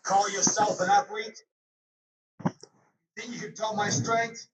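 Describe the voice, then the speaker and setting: A man's voice: high-pitched, flat delivery, slow pace, distant mic. A man playing a cocky, aggressive pro wrestler, throwing out boastful, menacing one-line taunts for a video game. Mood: boastful, smug. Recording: muffled, echoey, noisy game audio rip.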